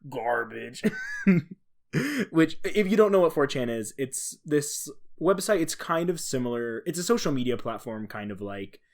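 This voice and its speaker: Casually rambling male podcast co-host. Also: medium pitch. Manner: rambling, self-deprecating asides; chuckles at own remarks. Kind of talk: casual solo podcast talk explaining a researched topic.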